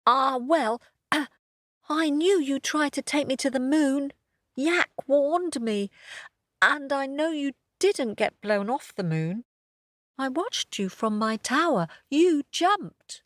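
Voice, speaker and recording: A woman voicing Pip, a kind young female dog, talking earnestly and gently. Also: high voice, lively intonation, takes it slowly, no room sound, clean studio sound, voice pushed up.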